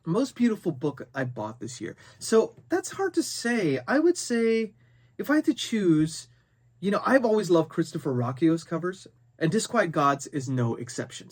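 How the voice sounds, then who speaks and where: Male book vlogger, mid-pitched voice, conversational and unscripted, thinks out loud while addressing viewers. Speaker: book review video host. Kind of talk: casual unscripted book chat to camera.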